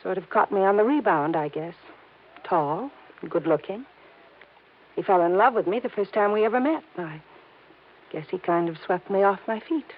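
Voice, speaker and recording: An actress as an anxious young heiress. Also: female voice, medium pitch, animated, normal speed, pauses often, natural voice. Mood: worried. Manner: anxious, hesitant, earnest. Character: worried young wealthy woman, Johnny's college friend. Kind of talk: 1950s radio drama acting. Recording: tinny old radio broadcast.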